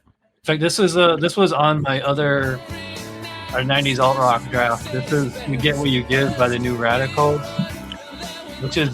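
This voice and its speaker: Halting male podcast host, casual music chat. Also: mid-range voice, steady tone.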